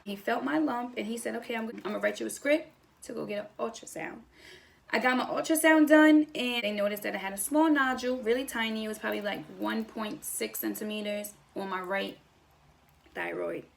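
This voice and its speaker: A woman's voice: fairly high pitch, a little fast, gravelly. A young woman telling a personal story in a casual vlog style, acting out bits of conversation as she goes. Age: young adult. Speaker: vlogger.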